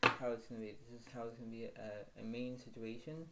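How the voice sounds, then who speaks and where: A man giving a casual tarot reading to his viewers. He speaks quietly and rambles, circling back to the same stock phrases. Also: little pitch movement, a little fast, very noisy. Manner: talks quietly, apologizes for his low voice. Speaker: tarot card reader.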